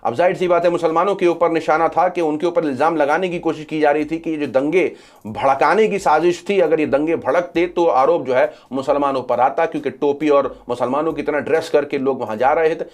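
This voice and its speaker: A man with a somewhat high voice delivers news commentary as a presenter. He moves through updates, restating key phrases to drive them home. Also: a little fast. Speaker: social media news commentator. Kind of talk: news commentary sharing updates to camera or over screen.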